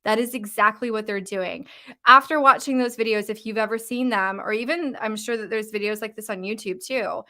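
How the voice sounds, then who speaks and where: Canadian west coast woman coaching on a live stream, kinda high voice, rising uptalk, chatty and informal. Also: a little fast, sharp tone. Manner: uptalk, informal with frequent fillers. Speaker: confidence coach. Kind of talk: casual live-stream talk. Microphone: live-stream mic.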